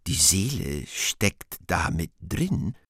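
Radio drama old deity: deep, unhurried, ostentatious, querulous, recorded dry. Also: male voice, big pitch swings, a bit bright, husky, no echo, exaggerated voice. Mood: astonished. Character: doddering old God the Father, ponderous and querulous. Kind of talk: radio play acting.

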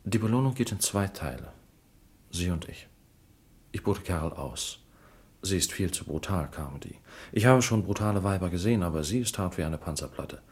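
An actor playing a sly, mocking shyster lawyer in a crime radio drama, theatrical and wheedling, with a raspy voice. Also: male voice, deep voice, lively intonation, normal speed, darkish tone, heavily acted. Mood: scheming.

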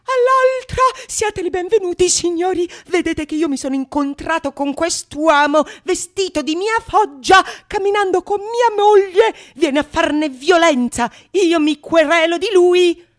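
Actress as betrayed husband in stage farce: very high, shrill, indignant, whining, protesting. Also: female voice, animated, a little slow. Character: furious foolish cuckolded husband, whining and indignant. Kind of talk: theatrical radio monologue of Renaissance comedy.

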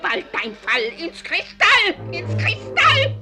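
A woman's voice: very high-pitched, wildly swooping pitch, slow pace, over loud music, exaggerated voice. A woman playing an ugly old apple-selling witch who spits spiteful curses in a shrieking, cackling voice in a radio play. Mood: menacing. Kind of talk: German radio play acting.

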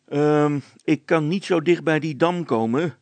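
A man voicing a suspicious, grumbling river skipper in an audiobook story, calling out loudly. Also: a little high, a little slow, no echo, voice pushed up, lightly acted. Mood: distrustful, grumbling. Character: suspicious, gruff Dutch river barge skipper, shouting.

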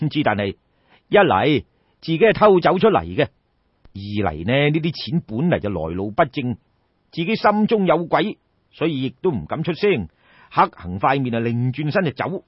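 A man's voice: medium pitch, very animated, normal speed, husky, no room sound, highs cut off. A man with a Cantonese accent telling a story in a colloquial, theatrical traditional oral style, addressing listeners directly. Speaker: storyteller, audiobook narrator.

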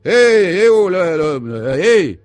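A man's voice: sits high, very animated, slow pace, voice pushed up, exaggerated voice. Man playing a nosy, puzzled amateur sleuth. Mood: calling out. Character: puzzled, wary male amateur sleuth in comic serial.

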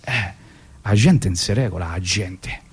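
A man's voice: lowish voice, unhurried, slightly rough. A man with a Roman accent hosting a radio show, telling comic stories in an ironic, rambling way with lots of asides. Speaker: radio host. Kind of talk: comic storytelling monologue. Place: radio studio.

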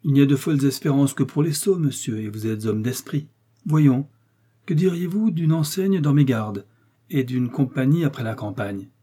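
A man reading an audiobook, voicing a powerful, scheming older cardinal who speaks in a smooth, persuasive, lofty way. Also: mid-range voice, lively intonation, moderate pace, mellow, pitched above the performer's own voice.

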